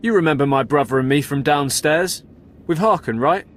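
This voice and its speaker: A man's voice: on the high side, normal speed, lightly acted. Man playing a cocky hired killer. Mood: taunting. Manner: taunting, cocky. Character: menacing male thug brother, hired killer.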